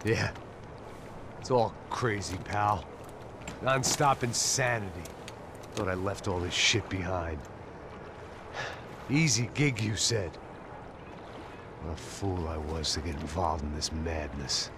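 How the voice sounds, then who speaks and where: An actor playing a jaded, cynical middle-aged bodyguard in a noir video game, sardonic and world-weary. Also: male voice, low-pitched, slow, long pauses, noisy background. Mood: bitter. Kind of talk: noir game narration and dialogue.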